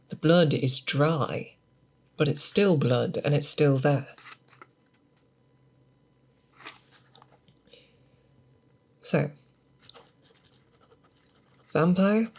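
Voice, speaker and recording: Woman doing a seasoned monster hunter teacher, asmr roleplay, real slow and breathy, patient, asks questions, close mic. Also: deep voice, darkish tone. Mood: confident, instructive. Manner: deliberate, instructive, questioning, thoughtful pauses. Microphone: close binaural microphone.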